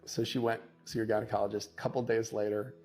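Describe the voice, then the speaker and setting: A man telling a courtroom anecdote as a divorce lawyer, in a punchy conversational way, acting out quoted dialogue with dramatic pauses. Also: on the low side, flat delivery, darkish tone, faint music behind. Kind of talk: animated conversational storytelling.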